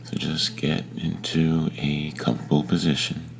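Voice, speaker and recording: A man leading a guided meditation in a gentle, unhurried manner with long pauses between phrases. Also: very deep voice, level tone, vocal fry, slight background noise, rough recording. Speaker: meditation teacher.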